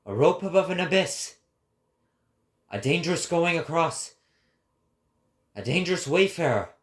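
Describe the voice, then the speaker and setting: A man performs an impassioned prophet in a dramatic reading. His voice sits fairly high for a man and moves very slowly. He declaims with grandiose, deliberate weight, as if preaching to a crowd.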